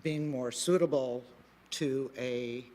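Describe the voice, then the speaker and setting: Male developer at a planning hearing; halting delivery, mid-range voice. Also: medium pitch, steady tone, darkish tone. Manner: halting, many fillers and restarts. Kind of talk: public meeting testimony.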